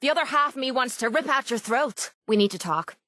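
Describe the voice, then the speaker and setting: An actress voicing a tough, guarded but loyal young Irish pit fighter in a video game, speaking bluntly and colloquially. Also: female voice, sits high, lively intonation, brisk, pushed and bright, dry recording, studio quality. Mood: angry, fed up. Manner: blunt, rough-edged, colloquial. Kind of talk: video game companion dialogue.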